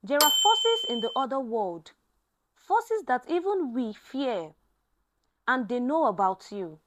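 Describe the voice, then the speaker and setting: A woman's voice: high-pitched, sing-song, slow pace, a bit bright, slight background noise, exaggerated voice. A woman voicing a cold, menacing, haggard man who speaks ominously in a story.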